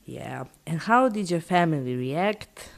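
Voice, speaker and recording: Lively-pitched interviewer. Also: measured pace, gravelly. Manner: reads questions, some fillers. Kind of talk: interview questions.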